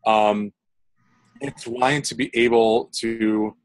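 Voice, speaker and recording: A man's voice: on the low side, steady tone, takes it slowly, low-quality recording. A young man, a composer, answering interview questions in casual talk, rambling with many fillers and restarts.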